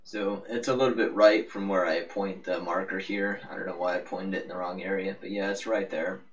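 Low-voiced man, muffled gaming commentary. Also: fairly even intonation, fairly quick. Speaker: gaming video host. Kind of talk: casual game walkthrough commentary. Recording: muffled, noisy, distant-sounding microphone.

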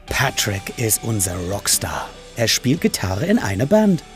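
A man's voice: lowish voice, big pitch swings, unhurried. A man giving friendly, scripted documentary narration. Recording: voice-over with music bed.

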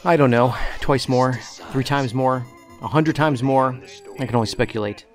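A man's voice: medium pitch, moderate pace, natural voice. A man plays a literal-minded, quirky investigator in a sci-fi radio play. His mid-range voice is precise and matter-of-fact. Faint music sits behind. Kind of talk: audio drama dialogue.

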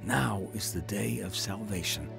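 A man's voice: on the low side, measured pace, vocal fry, over loud music. A man narrating his own story of faith in a dramatized radio testimony, earnest and preacherly.

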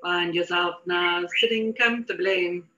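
A woman leading a guided meditation in a soothing, deliberate manner with repeated phrases. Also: lowish voice, steady tone, pushed and bright. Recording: dull lo-fi audio.

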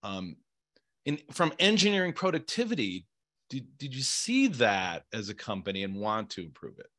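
Middle-aged male interviewer, lowish bright voice, very lively intonation, casual and musing. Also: normal speed. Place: small home office, indoors. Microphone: desk microphone on boom arm.

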